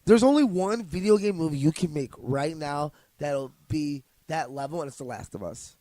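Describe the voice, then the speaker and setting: A man co-hosting a podcast in casual chat, blunt and quick, saying "like" often. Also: a little high, expressive, warm tone, dry recording. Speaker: podcast co-host.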